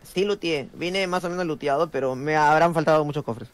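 A man's voice: fairly high pitch, a little fast. A man chatting casually with friends while playing an online video game.

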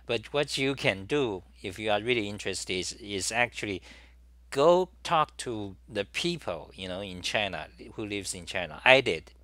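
Rambling man, bright and dry. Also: fairly low pitch, animated, normal speed, no echo. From Taiwan. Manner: meandering, many restarts and "you know" fillers. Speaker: talk show host. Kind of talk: rambling solo monologue. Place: small room at a desk.

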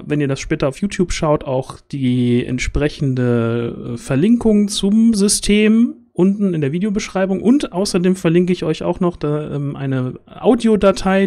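A man's voice: fairly high pitch, expressive, moderate pace, mellow, dry recording. A man, perhaps in his thirties, chattily introducing a livestream as host and often searching for words, on a close headset. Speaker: host of a tabletop role-playing stream. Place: small room at home, on a video call.

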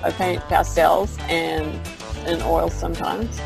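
Gravelly-voiced woman over music. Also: on the low side, flat delivery. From United States. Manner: halting, with pauses and fillers. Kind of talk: self-introduction.

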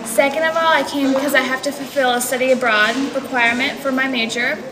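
Student, 20, high voice, earnest, echoey room with crowd noise. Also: female voice, steady tone, fairly quick. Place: indoors by large windows.

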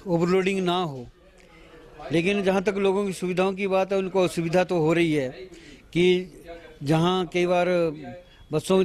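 A man in his fifties, a party official, giving a halting press statement to reporters with many pauses and restarts, speaking into a bundle of handheld mics outdoors at a bus station. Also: sits high, a little mellow. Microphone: bundle of handheld news mics.

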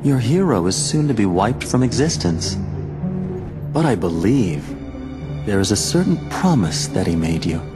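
A man's voice: fairly low pitch, very animated, measured pace. An actor playing a cold, scheming male villain in a video game, speaking formally with controlled menace. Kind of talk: video game cutscene acting. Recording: loud ominous music underneath.

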